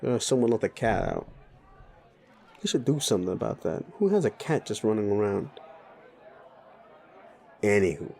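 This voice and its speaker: Man playing a brash, sleazy bully boss, animated. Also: fairly low pitch, moderate pace, gravelly, long pauses, some chatter behind. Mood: cocky, teasing. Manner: blunt, needling, swearing. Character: sleazy, bullying male werewolf boss, brash and foul-mouthed. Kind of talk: immersive roleplay audio drama addressed to listener.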